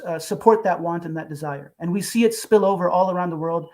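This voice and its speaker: A young man, a co-author on a panel, who answers questions in a measured and reflective way. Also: high-pitched, a little mellow. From United States. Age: young adult. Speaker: co-author, guest.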